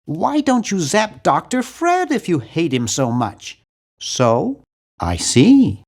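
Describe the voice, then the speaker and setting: An American man voicing a nerdy, nervous young man in a cartoon adventure game, earnest and fussy, with a nasal, awkward, excitable delivery. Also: high voice, slow pace, full character voice. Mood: argumentative.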